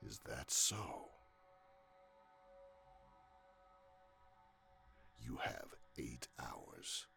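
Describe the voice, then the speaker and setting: An actor playing a ruthless, imperious villainous commander with a gravelly voice in a sci-fi war audio drama. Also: male voice, very deep voice, very animated, slow pace, sharp tone, faint music behind, heavily acted. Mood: quiet menace. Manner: menacing, authoritative.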